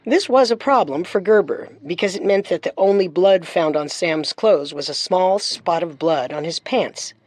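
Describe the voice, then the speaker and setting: A woman's voice: lowish voice, moderate pace, few pauses, a little mellow, no echo. A woman hosting a true-crime show with scripted narration. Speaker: host and narrator.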